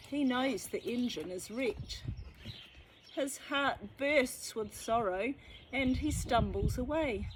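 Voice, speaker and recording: Slow, high-voiced woman reading a storybook. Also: noisy background. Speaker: storybook reader. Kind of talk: expressive children's read-aloud.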